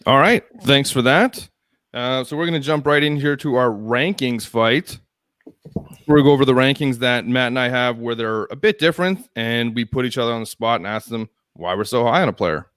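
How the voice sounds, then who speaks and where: A young man co-hosting a live fantasy football show, running the segments in a brisk, teasing way. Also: medium pitch, a bit bright, no echo, clean studio sound. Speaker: co-host. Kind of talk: casual fantasy football chat.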